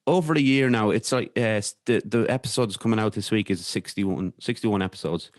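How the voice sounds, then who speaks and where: Irish man, low-pitched, conversational, hesitant and halting delivery, candid interview guest, dry recording. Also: no echo. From Ireland. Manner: hesitant, halting, repeats words mid-sentence. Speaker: podcast guest.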